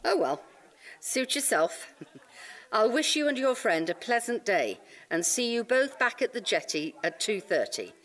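An officious park ranger in an audio comedy. The ranger is prim, nosy and chirpily formal, with a very animated, swooping intonation. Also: female voice, fairly high pitch, measured pace, heavily acted. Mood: brisk, cautioning. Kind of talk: audio comedy drama acting.

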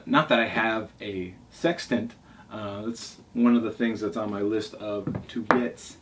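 A man's voice: fairly low pitch, unhurried. A man in his forties talking casually and enthusiastically in a rambling way, as a vlog host going through favourites at home. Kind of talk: casual talk reviewing favourite books. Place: small furnished room indoors at a table. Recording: distant mic, noisy room ambience.

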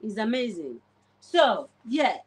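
A woman's voice: fairly high pitch, very animated, slow pace, husky, rough recording. Chatty woman in her forties, livestreaming. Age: 40s. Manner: chatty, warmly greets viewers by name. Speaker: livestreamer cleaning her oven. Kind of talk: casual live commentary while cleaning. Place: home kitchen, indoors. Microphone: phone camera pointed at the oven.

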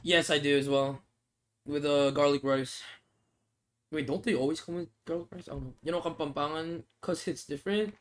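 A man's voice: fairly high pitch, steady tone, normal speed. A young man streaming a mobile shooter, chatting loosely with viewers, reading chat aloud and swearing casually into a headset mic. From Philippines. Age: young adult. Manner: laid-back, rambling, reads chat aloud, swears casually. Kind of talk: casual livestream chat while playing. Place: small room indoors. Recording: game audio noise behind voice. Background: game sounds and clicks.

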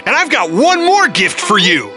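A woman's voice: medium pitch, very animated, moderate pace, over loud music, close to an everyday voice. A woman voicing a playful, wisecracking little boy in a children's cartoon. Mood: jokey. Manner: wide-eyed, jokey.

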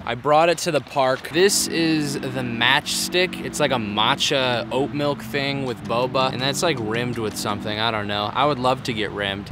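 A young man vlogging to camera in a city park, talking bluntly and swearing a lot, mocking himself as he goes. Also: mid-range voice. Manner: crude, blunt, self-mocking, heavy swearing. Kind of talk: casual vlog talk to camera.

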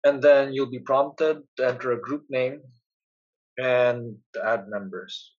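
A man giving a plain, instructional step-by-step narration as a software tutorial presenter. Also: mid-range voice, steady tone, slow, muffled recording.

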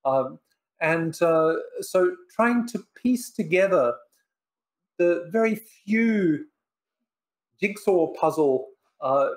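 An older man whose first language is Polish, a professor and author answering interview questions on a video call from his living room, measured and reflective with many pauses. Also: a little high, lively intonation. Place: living room in front of bookshelves. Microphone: video call webcam.

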